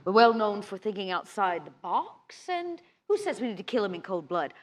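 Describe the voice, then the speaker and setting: A woman plays a sly, commanding female vampire in a tabletop game. She coaxes and schemes theatrically, in a lively, swooping voice of medium height. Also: medium pitch, normal speed, slight background noise, lightly acted. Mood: sly, scheming.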